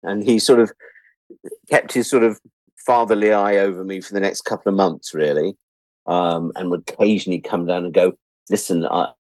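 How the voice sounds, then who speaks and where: A man's voice: deep voice, fairly even intonation, normal speed, a little mellow, dry recording, poor audio. A British man in his sixties telling anecdotes as an interview guest in a chatty, self-deprecating way, acting out bits of dialogue. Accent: British English. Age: 60s. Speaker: interview guest, writer-producer. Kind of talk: animated anecdotal storytelling in an interview.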